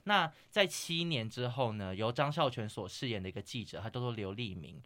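A woman's voice: extremely low pitch, lively intonation, a little fast, fairly bright tone. A woman co-hosting a podcast, chatting casually and retelling a film's story. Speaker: podcast co-host. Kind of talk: casual movie chat and plot retelling.

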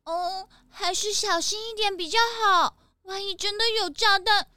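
A timid passenger who scares easily, pipes up in an extremely high, bright voice. The plaintive exclamations suit a children's radio play and sound close and dry. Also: female voice, fairly even intonation, normal speed, dry recording. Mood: frightened. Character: timid, easily frightened squeaky cruise passenger. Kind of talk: children's mystery audio drama.

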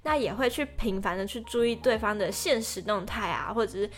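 A woman's voice: mid-range voice, fairly quick. A young woman with a Taiwanese Mandarin accent, co-hosting a podcast and chatting casually through prepared points. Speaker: podcast co-host.

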